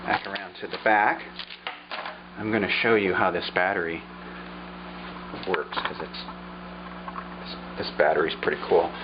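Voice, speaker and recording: A man with a low, easygoing voice walks through an old radio at a relaxed pace, pausing on fillers as he thinks. The sound is a little dull, with a steady hiss audible behind him. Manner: unhurried, thinking aloud with fillers. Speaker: vlogger showing a vintage radio. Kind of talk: casual show-and-tell demonstration.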